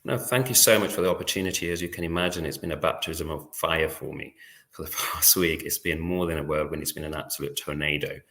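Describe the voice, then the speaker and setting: Middle-aged guy with a really deep voice, earnest, talks steady with lots of uhs. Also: fast. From born in Malawi, raised in Leicester. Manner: earnest and fluent, with many "uh" fillers. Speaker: guest, newly elected MP. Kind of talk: interview answers.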